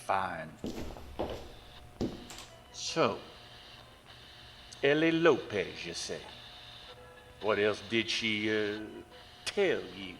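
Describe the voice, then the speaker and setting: An actor playing a gruff, skeptical small-town sheriff in an audio horror drama, blunt and sarcastic. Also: male voice, on the low side, lively intonation, drawn-out words, pauses often, over music, full character voice. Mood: grudging. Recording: clearly noisy track with clicks.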